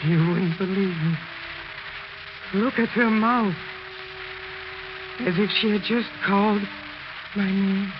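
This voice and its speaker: An actor playing an obsessed, grieving husband, intense and theatrical, in 1940s radio melodrama. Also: male voice, sits high, fairly even intonation, slow pace, long pauses. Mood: frantic, obsessed. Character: obsessed grieving husband, desperate, morbid, unhinged. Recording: very noisy, muffled 1940s radio recording.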